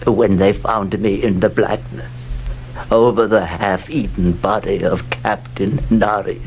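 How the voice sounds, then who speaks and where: Haunted gentleman going insane, slow tormented delivery, gravelly creak, horror radio play. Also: fairly low pitch, fairly even intonation, a little hiss or hum, poor audio. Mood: grim, broken. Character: haunted, unhinged gentleman narrator sliding into raving madness. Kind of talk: horror audio drama acting.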